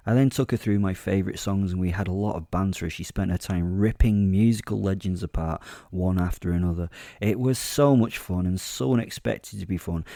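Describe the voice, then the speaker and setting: A man's voice: low-pitched, dark tone, no room sound, clean studio sound. A man narrating a horror audio drama in the first person, in a wry, confiding manner. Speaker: narrator playing the werewolf protagonist.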